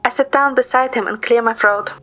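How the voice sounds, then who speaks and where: A woman's voice: a little high, steady tone, a little fast, fairly bright tone, soft background music, lightly acted. A woman narrating an audio drama in the first person as a composed, observant young woman.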